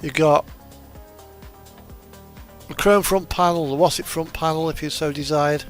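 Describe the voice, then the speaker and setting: A man's voice: on the high side, fairly even intonation, a little slow. A man giving casual, rambling gameplay commentary, hesitant and thinking aloud as he goes. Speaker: host of a truck simulator gaming video. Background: music from an in-game radio.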